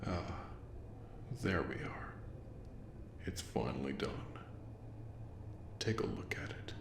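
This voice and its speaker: An extremely deep, gravelly voice belongs to a courtly plague doctor creature, acted by a man. He speaks slowly and formally in a breathy murmur, with calm menace and obsessive interest. It is an audio roleplay with a roomy echo around the voice. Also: exaggerated voice. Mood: rapt pride. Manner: slow, formal, old-fashioned diction, softly menacing. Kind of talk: audio roleplay monologue addressed to the listener. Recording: roomy echo with faint background noise.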